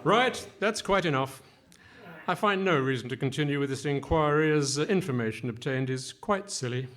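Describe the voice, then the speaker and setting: A man's voice: a little high, animated, normal speed, fairly bright tone. A man playing a pompous, formal and nonsensical official in a comic radio play before a live audience.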